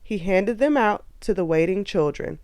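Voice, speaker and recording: A woman narrating a children's storybook aloud in an expressive, animated way. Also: mid-range voice, normal speed, no echo, clean studio sound.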